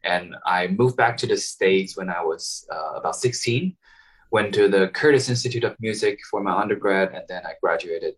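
Hesitant young man, somewhat low. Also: moderate pace, distant mic, slight background noise, rough recording. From Taiwan. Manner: hesitant, many ums and restarts. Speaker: violinist guest. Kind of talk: casual interview answers.

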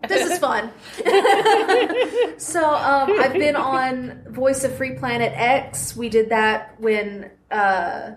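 A woman's voice: high voice, slow. A woman in her thirties, a podcast host, chatting casually and laughing often as an interview guest at a convention panel. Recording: roomy echo, faint noise.

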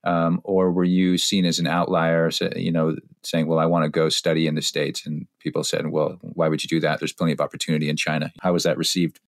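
Deep-voiced middle-aged male interviewer. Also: monotone, fairly quick, creaky, few pauses, no echo, clean studio sound. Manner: curious, chatty, asks long questions. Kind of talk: conversational interview.

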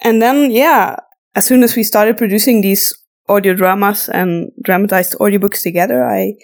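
A woman's voice: medium pitch, moderate pace, vocal fry. A woman from Flanders with a Flemish accent, a native Dutch speaker, chatting casually and self-deprecatingly as a podcast host answering listener questions. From Flanders, Belgium. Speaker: podcast host and audio drama voice actor.

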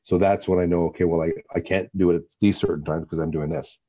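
A man guest in an interview, talking in a relaxed way, often repeating words as he gathers his thoughts. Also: low-pitched, fast, husky, lo-fi sound. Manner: rambling, repeats himself while thinking. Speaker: guest, actor and former fighter.

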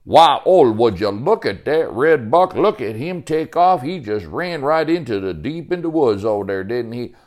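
A man's voice: medium pitch, expressive, moderate pace, few pauses, a little mellow, voice pushed up, close to an everyday voice. A man voicing a boastful, fatherly woodsman in a story, with folksy turns of phrase and emphatic repetition. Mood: excited amused. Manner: folksy drawl phrases, repeats himself emphatically. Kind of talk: storytelling character voice.

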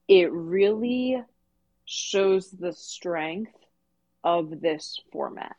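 A woman's voice: fairly low pitch, highs cut off. A woman co-hosting a podcast, discussing fiction in a casual, conversational and emphatic way. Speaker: podcast co-host.

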